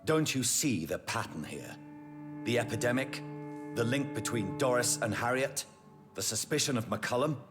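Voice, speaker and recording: An actor playing a grave, righteous gentleman vampire doctor in a video game, stern and formal as he interrogates. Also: medium pitch, animated, moderate pace, music in the background, natural voice. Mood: accusing. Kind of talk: dramatic video game cutscene acting.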